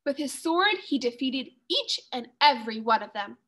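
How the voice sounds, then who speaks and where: High-pitched woman reading a children's story. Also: very animated, normal speed, fairly bright tone. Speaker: teacher reading aloud. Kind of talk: expressive children's story narration.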